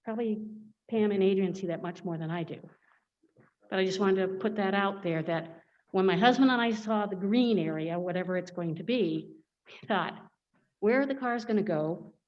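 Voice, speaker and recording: A woman's voice: medium pitch, normal speed. Woman, resident, plain conversational comment, dull muffled sound. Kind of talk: public comment at a town meeting.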